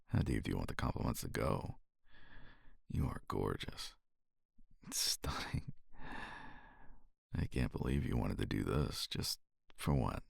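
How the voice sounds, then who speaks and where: A man playing a cocky, flirtatious competitor in an intimate romance ASMR roleplay, murmuring teasingly with a gravelly, husky, breathy voice close to the microphone. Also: very deep voice, animated, no echo, exaggerated voice. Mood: flirtatious, admiring. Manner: teasing, unhurried, murmuring with sighs and pauses.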